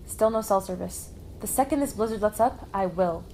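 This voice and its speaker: A woman's voice: mid-range voice, normal speed, dark tone, a little hiss or hum, natural voice. A woman playing a firm, probing amateur sleuth at a lodge in a puppet show. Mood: accusing. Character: firm, reflective female lodge worker turned sleuth.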